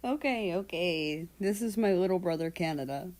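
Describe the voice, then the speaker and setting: A woman's voice: fairly low pitch, normal speed, darkish tone, close to an everyday voice. A woman voicing a friendly, cheerful, teasing schoolboy in a story reading.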